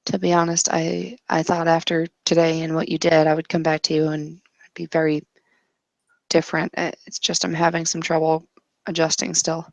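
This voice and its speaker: A nervous but defiant woman fighter in a tabletop roleplay, acted by a woman. She speaks low and haltingly, defensive and hesitant, in a dry, close sound. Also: completely flat delivery, vocal fry, no room sound, poor audio. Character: nervous, defiant woman fighter hiding her trembling fear.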